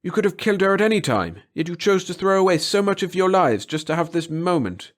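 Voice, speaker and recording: A man's voice: high-pitched, very animated, a little fast, pitched above the performer's own voice. A man voicing an intense middle-aged biologist in an audiobook, formal and insistent. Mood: agitated, accusing. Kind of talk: dramatic audiobook character reading.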